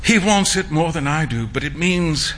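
A man voicing a kind-hearted young gentleman in a storybook reading, speaking gently and earnestly. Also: a little high, lively intonation, normal speed, slight background noise.

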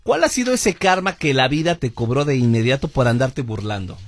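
A man's voice: mid-range voice, lively intonation, a little fast, no echo. A man with a Mexican accent hosting a radio show in a studio with playful, colloquial banter.

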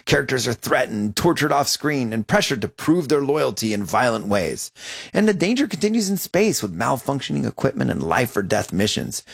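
A man presenting a scripted radio review segment in a measured, evaluative tone. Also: mid-range voice, sing-song, vocal fry, breathy edge, no echo, studio quality. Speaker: media reviewer.

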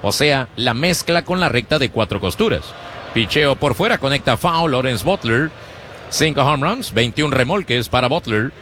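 A man announcing a baseball game play by play for a radio broadcast, in a rhythmic, animated calling style. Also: medium pitch, normal speed, a little hiss or hum. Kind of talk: Spanish-language baseball radio commentary.

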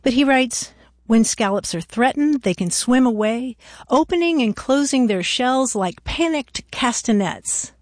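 Higher-pitched woman radio co-host, close and dry. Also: expressive, measured pace, a little mellow, dry recording. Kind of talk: conversational book review and radio hosting.

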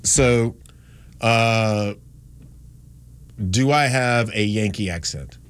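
A man's voice: lowish voice, drawn-out words, fairly bright tone, clean tone. A man hosting a talk radio show in a studio, chatting in a rambling, self-deprecating way about listener texts. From Gastonia, North Carolina. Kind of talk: casual talk radio chat. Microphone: studio microphone.

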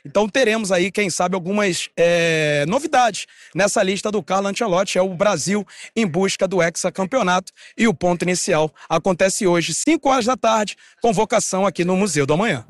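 A man reporting live from outside a museum, steady and informative with a few fillers. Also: high voice, a little fast, few pauses, no echo, clean studio sound. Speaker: field reporter. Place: outdoors at a city museum.

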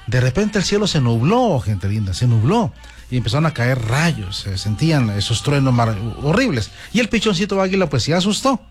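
A man's voice: medium pitch, very animated, normal speed, faint music behind. A man hosting a talk show, telling a fable to his audience and greeting them effusively. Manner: effusive, repeats "gente linda" often. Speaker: host.

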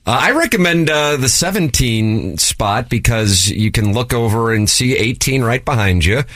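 A man's voice: medium pitch, lively intonation, moderate pace, a bit bright, no echo, studio quality. Wry, teasing male radio host. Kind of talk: sports talk radio banter. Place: radio studio.

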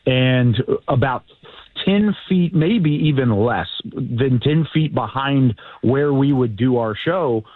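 A man with a big booming announcer's voice, calling in to break down a football game. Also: lively intonation, slow pace, no room sound. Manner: booming announcer voice, talks in long runs. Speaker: guest, former team broadcaster. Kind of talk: casual sports analysis on a call. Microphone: phone call. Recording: tinny phone line.

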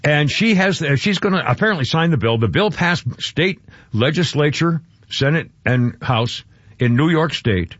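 A man's voice: a little high, lively intonation, normal speed, fairly bright tone, no echo. A man hosting a talk radio show, rambling with many restarts and fillers in casual opinionated chat. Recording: dull lo-fi radio broadcast, dry.

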